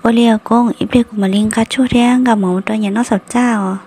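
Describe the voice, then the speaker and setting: An actress voicing a woman in an animated family drama, in an amateur cartoon style. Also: a little high, moderate pace, a little hiss or hum, natural voice. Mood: conversational.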